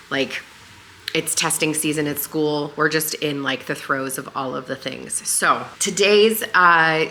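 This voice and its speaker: Low-voiced woman, slightly hoarse, chatty. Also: bright, forceful tone, a little hiss or hum. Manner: rambling and friendly, with many fillers. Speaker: home vlogger and channel host. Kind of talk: casual chatty home vlog. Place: living room of a family home.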